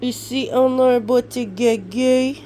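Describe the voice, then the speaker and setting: A stuffy, flu-congested woman in her thirties with a Québécois accent talks from her bed in an easygoing, rambling way. Her voice is mellow and unhurried, and faint noise sits under the recording. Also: fairly high pitch, steady tone. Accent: Québécois French. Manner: rambling, chatty, frequent fillers. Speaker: vlogger. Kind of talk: casual vlog chat. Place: her bedroom, lying in bed.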